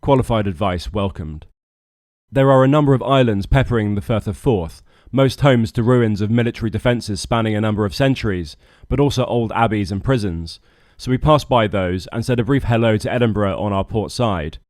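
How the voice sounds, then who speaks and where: Low-voiced British man, dry close narration. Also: brisk, a little mellow, no room sound. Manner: wry, dry humour. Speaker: boat skipper and vlogger. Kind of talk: scripted vlog narration.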